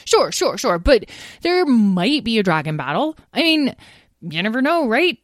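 Woman as an eager, high-pitched dragon boy. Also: very animated, measured pace, no echo, clean studio sound. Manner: eager, chirpy, teasing. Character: excitable, cheeky young male dragon child. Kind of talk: children's storybook character voice.

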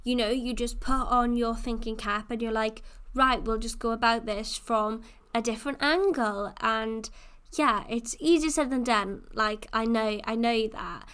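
A woman's voice: fairly high pitch, unhurried, fairly bright tone, smooth. A woman, hosting a casual solo podcast and chatting freely, with plenty of "like" and "you know.". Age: 20s. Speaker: podcast host.

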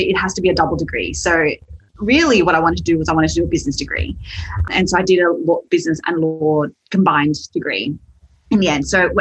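A woman's voice: on the low side, husky, muffled recording. A young Australian woman with an Australian accent, telling her story as a podcast guest in a chatty way, laughing often. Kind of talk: podcast interview.

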